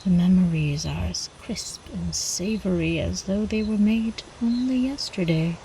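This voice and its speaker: A woman playing a predatory older vampire countess in an ASMR roleplay, haughty, teasing and possessive, with breathy drawling speech that often drops into whispers. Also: on the low side, unhurried, warm tone, a little hiss or hum, low-quality recording. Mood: savoring, menacing. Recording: faint night insect ambience behind.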